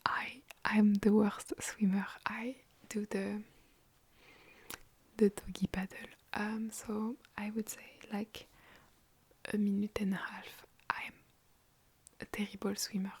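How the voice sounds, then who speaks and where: A young woman from France with a French accent, whispering softly and chattily as she answers viewer questions in an ASMR video from her bedroom, recorded on a phone. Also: medium pitch, fairly even intonation, slow, long pauses, breathy edge. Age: young adult. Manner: soft unvoiced whisper, rambling and chatty. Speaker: ASMR creator.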